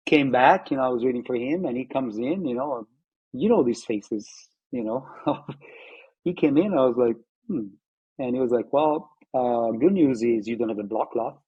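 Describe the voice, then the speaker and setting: A man, telling his own story in an interview, reflective and halting with many fillers and restarts. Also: medium pitch, warm tone, breathy edge, dull audio. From Italy. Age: 50s. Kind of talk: personal testimony interview.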